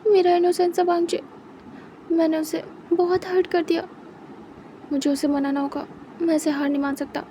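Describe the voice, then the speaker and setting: A woman's voice: very high voice, little pitch movement, normal speed, long pauses, warm tone, slight background noise, voice pushed up. A woman voicing a possessive, clingy young man who demands and pleads with his lover. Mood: fretful. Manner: demanding, then wheedling and pleading.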